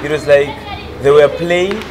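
Young man talking over very loud crowd noise. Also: on the high side, measured pace. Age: 20s. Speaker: theatre workshop facilitator. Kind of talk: casual documentary interview.

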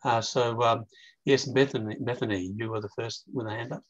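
An older man chairing an academic session by video call, putting questions in a halting, circling way. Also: mid-range voice, fairly even intonation. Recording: dull, lo-fi video call audio.

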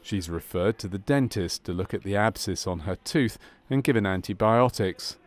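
A man reading scripted documentary narration about a medical case in a measured, clinical manner. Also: on the low side.

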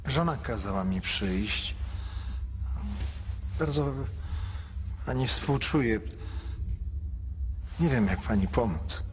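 Slow, hesitant, apologetic male visitor. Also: medium pitch, expressive, a little hiss or hum. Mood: awkward, apologetic. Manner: hesitant, stammering, polite. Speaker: actor in a radio drama. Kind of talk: radio drama acting.

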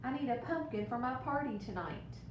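Woman doing a high-pitched pleading young boy. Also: expressive, normal speed, natural voice. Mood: urgent pleading. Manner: urgent, pleading. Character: eager young boy calling urgently, pleading. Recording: roomy, distant mic with rumbling noise.

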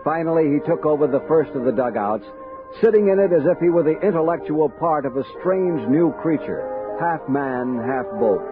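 An actor playing a thoughtful, commanding American army captain in a 1960s radio drama, measured and authoritative. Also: male voice, a little high, lively intonation, natural voice. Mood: admiring narration. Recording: dull vintage radio recording with music.

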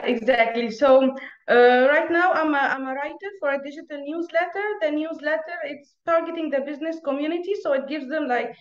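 Young Egyptian woman, Arabic native, high pitch, talkative guest on a lo-fi, roomy video call. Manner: chatty, laughs often, many restarts. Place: small room at home. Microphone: earphone mic on video call.

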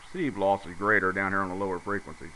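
A middle-aged man casually demonstrating his hobby in a plain, folksy, halting way while he works, recorded on a handheld camera indoors. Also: low-pitched, steady tone, pushed and bright. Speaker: amateur radio hobbyist. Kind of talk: casual hands-on demonstration talk. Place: indoors at a radio bench. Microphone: handheld camera's built-in mic. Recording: noisy camera audio with radio static. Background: radio receiver noise and static.

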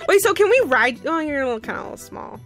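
A playful young witch, acted by a woman in a Minecraft roleplay. She is excitable and mischievous, with a high, very animated voice. Music plays behind her. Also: racing. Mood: excited. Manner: excitable, bubbly. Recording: music under the voice.

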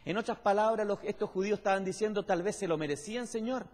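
A man's voice: high-pitched, fast talker, a little mellow. A man preaching a sermon in an explanatory, emphatic teaching manner, putting questions to the congregation. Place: large church hall, on stage at a pulpit. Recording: echoey church hall with long reverb.

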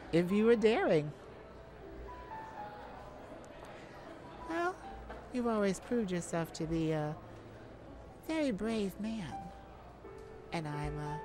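A woman playing a playful, shyly romantic lady thief in an ASMR audio roleplay, with a teasing, hesitant manner. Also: lowish voice. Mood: playful, flirtatious. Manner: teasing, hesitant, breaking into soft laughs. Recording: music behind the voice.

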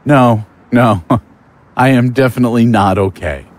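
A man's voice: medium pitch, measured pace, close to an everyday voice. A man acting in an audio drama as an exhausted, angry, foul-mouthed man who is fed up with monsters. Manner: weary, exasperated, cursing.

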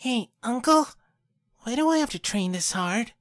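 Woman as young boy, headstrong, animated pitch, audio drama, background music. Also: moderate pace, natural voice. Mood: curious, earnest. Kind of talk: amateur audio drama acting.

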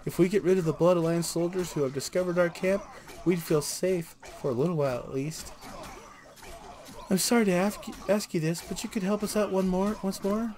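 A man's voice: high voice, a little fast, a little mellow, pitched above the performer's own voice, lightly acted. A man voicing a grateful female army sergeant, reading fantasy game quest dialogue aloud. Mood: earnest, pleading. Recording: constant game noise behind.

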